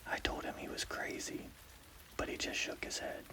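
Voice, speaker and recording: A man narrating a scary story as whispered ASMR, in a hushed, deliberate, breathy voice with a gravelly creak. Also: poor audio.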